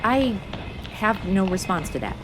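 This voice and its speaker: A woman's voice: medium pitch, sing-song, measured pace, over music. A woman playing a slangy, blunt, sarcastic modern young woman who is a detective's sidekick in a comedy audio drama. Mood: baffled.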